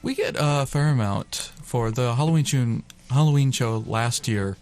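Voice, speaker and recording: Male radio interview guest, mid register with plenty of vocal fry, casual delivery. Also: mid-range voice, moderate pace, darkish tone. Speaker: radio theater group member, interview guest. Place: college radio station.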